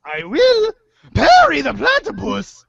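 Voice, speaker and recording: A woman voicing a goofy, excitable, theatrical male cartoon mad scientist in a comic impression. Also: high voice, slow, vocal fry, heavily acted. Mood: determined. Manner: theatrical, exclamatory. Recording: muffled, dull lo-fi audio.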